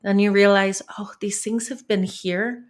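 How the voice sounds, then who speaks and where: Woman podcast host; enthusiastic, affirming, warmly conversational questions. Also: medium pitch, fairly even intonation, unhurried. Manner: enthusiastic, affirming, frequent back-channel agreement. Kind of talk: conversational interview.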